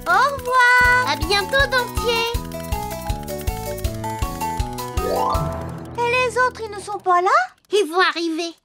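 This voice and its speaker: Very high-pitched little toy boy. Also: normal speed, loud music behind the voice. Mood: cheerful. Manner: bright, eager, childlike. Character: cheerful little wooden toy boy, friendly and eager. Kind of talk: children's cartoon acting, French dub.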